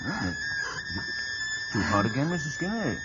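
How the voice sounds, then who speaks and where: A muffled old radio recording with loud music, where a courteous gentleman. His lively voice is mild, chatty and solicitous. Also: medium pitch, a little slow. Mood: polite concern. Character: polite, solicitous gentleman acquaintance. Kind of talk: 1940s radio drama acting.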